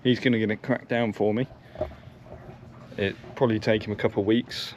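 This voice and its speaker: Low-pitched, monotone young man. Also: a little fast, slight background noise. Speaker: vlogger. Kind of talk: casual narration while working on bikes. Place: car, sheds and yard while working.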